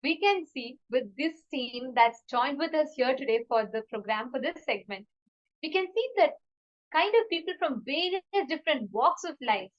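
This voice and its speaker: A woman's voice: high voice, lively intonation, normal speed, lo-fi sound. A woman host moderating a panel and eagerly asking guests questions.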